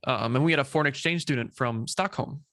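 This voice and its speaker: A young man talking as a guest in a relaxed interview, hesitant and full of fillers and restarts. His voice sits at an everyday pitch, and the sound is dry and close. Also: no room sound. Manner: halting, many fillers and repeats. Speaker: guest, social media creator. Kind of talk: casual interview chat.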